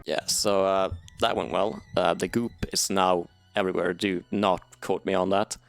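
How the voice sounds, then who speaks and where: A man's voice: deep voice, steady tone, normal speed, gravelly, clean tone, faint music behind. A man giving an informal, wry video game recap, swearing casually.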